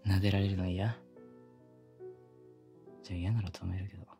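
A man's voice: sits low, little pitch movement, moderate pace. A man playing a pushy, affectionate younger male coworker in love, murmuring teasingly in an intimate ASMR boyfriend roleplay, often close to a whisper. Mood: teasing, tender. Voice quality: breathy, often dropping to a whisper. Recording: close binaural recording with background music.

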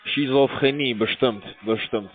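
A man calling from a call center, rambling in a bored, crude, halting way. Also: mid-range voice, soft background music. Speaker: call center scam caller. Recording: dry tinny phone line.

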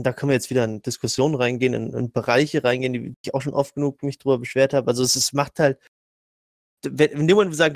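A man's voice: medium pitch, no echo, clean studio sound. A man in a casual gaming debate, arguing insistently and often stumbling over repeated words. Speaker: podcast panelist.

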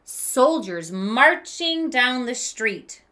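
A woman's voice: on the high side, very animated, extremely slow, bright, forceful tone. A woman in her thirties reading a children's storybook aloud expressively, adding asides and questions for young listeners. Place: small room with bookshelf, indoors.

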